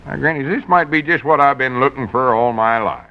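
An actor playing an excitable, gullible, vain country man with a folksy rural Southern drawl in old radio comedy. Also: medium pitch, clear, resonant tone, natural voice. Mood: self-satisfied. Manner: folksy drawl, halting when reading aloud. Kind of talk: 1940s radio comedy acting. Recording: muffled vintage radio recording.

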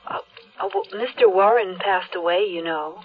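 An actress playing a hesitant office receptionist in a 1970s radio drama. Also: female voice, lowish voice, normal speed, breathy edge. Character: hesitant female office receptionist at a realty firm. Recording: noisy, tinny phone-like radio line.

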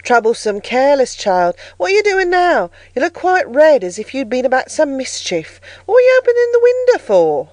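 A woman voicing a brisk, impatient nursemaid who scolds and fusses sharply in an audiobook story. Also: high-pitched, huge pitch swings, dry recording, voice pushed up. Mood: cross, scolding. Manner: sharp, fussing, exclamatory.

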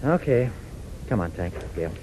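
An American actor playing a brave, level-headed young pilot hero in a 1940s radio drama, plain-spoken and take-charge. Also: male voice, lowish voice, very animated, moderate pace, warm tone. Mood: concerned, resigned. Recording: noisy 1940s radio recording.

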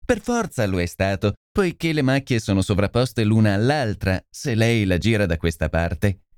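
A man's voice: on the low side, sing-song, normal speed, darkish tone, smooth, dry recording, studio quality. A man voicing a blustering male police inspector in an audiobook, brash and needling.

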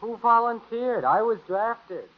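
A man's voice: very high voice, animated, takes it slowly, full character voice. Complaining American teenage boy, wisecracking, swooping intonation, 1950s radio comedy, muffled hissy recording. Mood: grumbling, indignant. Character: grumbling, wisecracking teenage son, reluctant at chores. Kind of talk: 1950s radio sitcom acting.

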